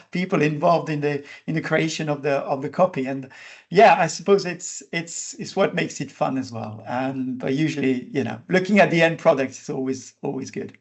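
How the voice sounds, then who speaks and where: Man, podcast host, casual interview, slightly high pitch, hesitant, filler-heavy. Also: moderate pace. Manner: hesitant, many fillers and restarts.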